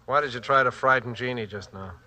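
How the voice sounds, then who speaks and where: A man's voice: mid-range voice, quick pace, bright, forceful tone. An actor playing a protective, suspicious husband and father, plain-spoken and blunt, in 1940s radio drama style. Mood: suspicious, firm. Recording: vintage 1940s radio recording.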